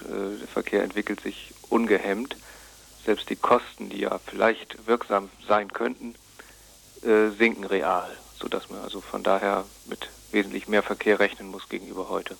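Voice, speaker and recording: Gravelly, flat-toned man as expert guest. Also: fairly low pitch, normal speed, rough recording. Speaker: traffic expert, phone interviewee. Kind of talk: explanatory interview answers.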